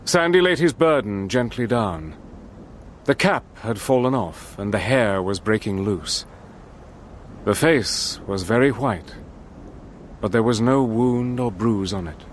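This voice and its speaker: A grave officer-narrator. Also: male voice, on the low side, expressive, slight background noise. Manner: measured and grave, sharpening under fire. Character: resolute British officer recounting his war. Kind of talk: first-person radio drama narration. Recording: radio play with artillery sound effects.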